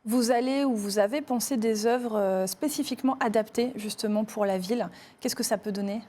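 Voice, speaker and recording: A woman's voice: a little high, moderate pace, dark tone. Woman host prompting her guest. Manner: prompting, finishes the guest's sentences. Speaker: interviewer host. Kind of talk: broadcast interview questions.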